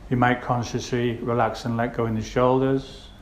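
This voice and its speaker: Male meditation guide, mid-pitched and gentle, unhurried phrasing with pauses, flat steady intonation, echoey recording. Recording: roomy echo with faint background noise.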